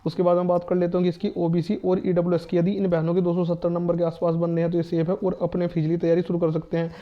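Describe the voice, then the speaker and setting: A man's voice: high voice, completely flat delivery, quick pace. A man giving an informational update to students as an online coaching presenter, addressing listeners directly in a repetitive, reassuring way. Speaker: exam-coaching YouTube presenter. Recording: dull lo-fi recording.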